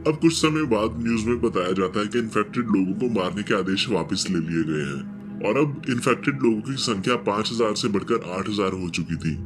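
A man narrating a film recap in a steady, scripted storytelling manner. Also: on the low side.

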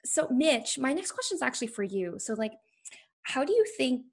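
A woman's voice: high voice, sing-song, normal speed, gravelly, darkish tone. A young woman on a laptop video call, moderating an online panel with a casual, "like"-filled way of asking questions. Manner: casual, frequent "like". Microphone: laptop webcam video call.

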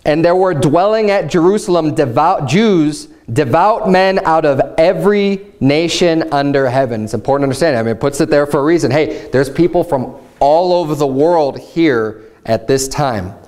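Animated man preaching at a pulpit. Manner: animated preaching, voice rising to emphatic highs, reads scripture slowly. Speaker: pastor. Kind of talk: fundamentalist Baptist sermon. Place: church room, standing at a pulpit.

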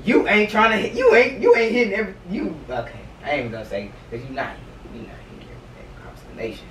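A young man speaks in a high voice with wide swings of pitch, pressing his point in halting, repeated slangy phrases. The casual outdoor chat is caught from afar, echoey and slightly noisy. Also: huge pitch swings, fairly bright tone. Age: young adult. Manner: insistent, halting, repetitive, slangy. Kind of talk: casual debate among friends. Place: outdoor patio at night. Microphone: far camera. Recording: roomy, distant, faint background noise.

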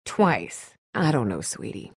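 A woman's voice: a bass voice, big pitch swings, slow pace, vocal fry, husky, dry recording, clean studio sound, full character voice. A woman playing a tough, blunt but caring survivor of an apocalypse in a dramatic video game. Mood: earnest, exasperated. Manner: blunt, urgent, no-nonsense.

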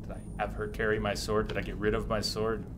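A man's voice: on the low side, monotone, fast talker, background noise. A man in his thirties giving casual live commentary as he streams a video game. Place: indoor streaming setup. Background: game music.

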